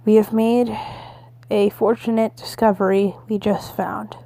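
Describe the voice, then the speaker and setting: A woman's voice: medium pitch, little pitch movement, dark tone, no echo, lightly acted. A woman playing a stammering, frightened weather service spokesperson in an amateur emergency broadcast roleplay. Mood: solemn, official. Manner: stammering, halting. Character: shivering, terrified National Weather Service spokesperson, pleading.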